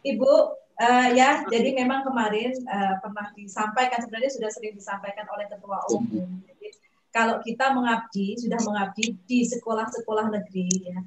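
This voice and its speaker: Roomy, higher-voiced Indonesian-speaking woman moderating. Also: steady tone, a little fast. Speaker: webinar moderator. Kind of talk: hosting an online question-and-answer session. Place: online video meeting. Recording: roomy, distant mic, faint noise.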